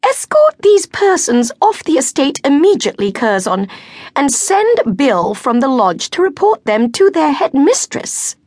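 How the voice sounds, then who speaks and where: A woman's voice: high voice, big pitch swings, unhurried, a little mellow, no echo, clean studio sound, voice pushed up. A woman voicing a haughty young countess, cold and imperious. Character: haughty high-voiced aristocratic young countess.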